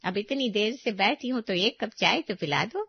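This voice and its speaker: A woman voicing a chatty, flattering middle-aged neighbour in a family story, teasing and familiar. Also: medium pitch, moderate pace, breathy edge, no echo, muffled recording. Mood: amused, chatty.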